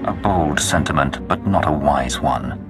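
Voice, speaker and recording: Very deep, imperious Sith lord. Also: male voice, over music. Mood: cold menace. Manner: pompous, commanding, deliberate. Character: menacing, imperious male Sith lord seeking to rule. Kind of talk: dramatic video game voice acting.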